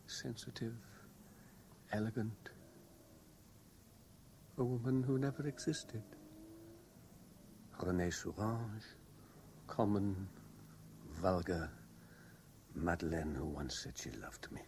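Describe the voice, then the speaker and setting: An actor with a British accent playing a tormented, obsessive lover in a brooding, deliberate radio drama style. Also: male voice, low voice, a little mellow, full character voice. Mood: anguished, obsessed. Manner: brooding, deliberate, intensely emotional. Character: tormented, obsessively lovestruck man confessing his cowardice. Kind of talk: BBC radio drama acting. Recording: hissy 1970s radio broadcast recording.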